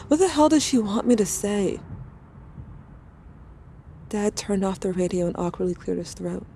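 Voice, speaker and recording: Woman as bitter, grieving teen girl, audio-drama letter narration; lowish mellow voice, blunt and confessional. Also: slight background noise, lightly acted. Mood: hurt, tense. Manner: sardonic, confessional, bluntly informal. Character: angry, grieving teenage girl writing to her dead sibling.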